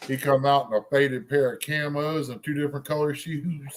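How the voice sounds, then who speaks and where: A man's voice: mid-range voice, steady tone, noisy background. A man hosting a live chat stream, telling rambling stories with plenty of fillers. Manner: rambling storyteller, halting with fillers. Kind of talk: casual chat.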